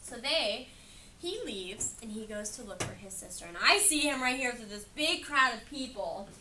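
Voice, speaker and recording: High, lively American woman reading to a child. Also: normal speed. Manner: chatty, encouraging, repeats the listener's answers. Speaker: picture-book reader with a child. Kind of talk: interactive read-aloud with questions and echoed answers. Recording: noisy room, distant microphone.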